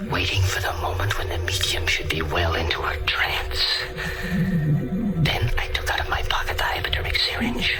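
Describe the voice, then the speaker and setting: An actor playing an obsessive, scheming murderer who narrates his own crime in a brooding, deliberate 1940s radio thriller style. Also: low-pitched, poor audio. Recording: old radio recording with loud music.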